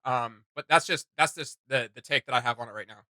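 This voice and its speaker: A man hosting a reaction video with casual, halting commentary, often searching for words and repeating himself. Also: mid-range voice, pushed and bright, dry recording.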